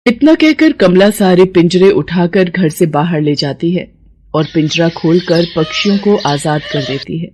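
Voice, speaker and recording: A woman's voice: lowish voice, a little mellow, a little hiss or hum. A woman giving scripted storytelling narration for a Hindi moral-story cartoon.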